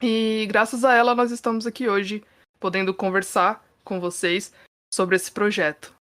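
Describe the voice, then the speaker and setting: A woman's voice: mid-range voice, little pitch movement, moderate pace, a bit bright. A woman, co-hosting a podcast in a chatty manner, laughing often and checking in with listeners. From São Paulo, Brazil. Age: 30s. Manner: chatty, laughs often, frequent tag questions. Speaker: podcast co-host. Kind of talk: casual podcast chat.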